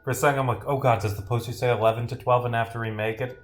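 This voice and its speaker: A man's voice: mid-range voice, steady tone, rapid-fire. A man giving casual, rambling livestream commentary as he playtests a game and reads its text aloud, often interrupting himself with dry jokes. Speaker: streamer, game developer. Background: game music.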